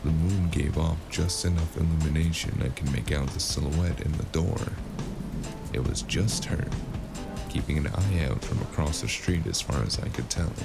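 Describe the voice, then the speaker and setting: A man playing a world-weary, wry noir freelancer in a gravelly voice, narrating a cyberpunk fantasy audio drama in the first person. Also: rumbling low. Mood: brooding, wry. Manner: wry, brooding, unhurried. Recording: constant loud music underneath. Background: loud music.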